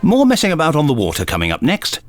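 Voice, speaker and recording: A man's voice: a little high, wildly swooping pitch, fast talker. A man presenting a radio programme with a scripted link. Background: loud brass music.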